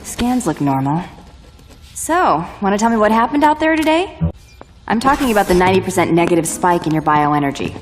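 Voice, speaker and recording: A woman's voice: medium pitch, very animated, brisk, soft background music, close to an everyday voice. A woman playing a clinical scientist in scripted dialogue, businesslike and questioning, recorded with long reverb. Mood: clinical, brisk. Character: brisk, clinical female scientist or medic.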